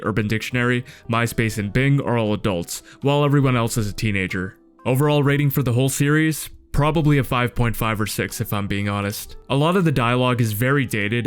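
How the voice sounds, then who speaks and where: A man's voice: medium pitch, smooth. A man giving casual scripted commentary as the narrator of a video review. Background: music.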